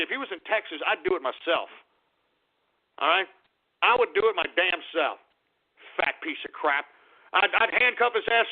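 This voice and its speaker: A male talk radio host ranting angrily and profanely at callers. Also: high-pitched. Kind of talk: call-in talk radio rant. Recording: tinny lo-fi radio audio.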